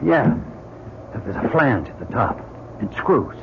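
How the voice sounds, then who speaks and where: Through a dull, hissy old recording comes a decisive astronaut leader. His mid-pitched voice jumps widely as he snaps out short, practical orders in radio-drama style. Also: big pitch swings, slow, gravelly, full character voice. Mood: determined. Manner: clipped orders.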